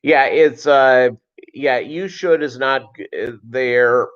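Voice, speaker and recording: A man hosting a casual livestream, chatting and answering viewers in a rambling, halting, conversational way. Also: medium pitch, fairly bright tone, smooth. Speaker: livestream host. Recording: dull, lo-fi livestream audio.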